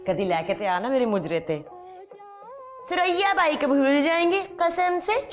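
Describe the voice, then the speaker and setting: A high, swooping voice for a sassy, naive courtesan in a period TV drama. She has a Punjabi accent and a teasing, exclamatory manner. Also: animated, unhurried, soft background music. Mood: playful, astonished. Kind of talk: television period drama acting.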